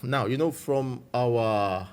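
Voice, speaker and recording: A man with a Nigerian accent, a guest recounting his life story in a measured, reflective way. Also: medium pitch, smooth. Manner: measured, warm storytelling with frequent "you know".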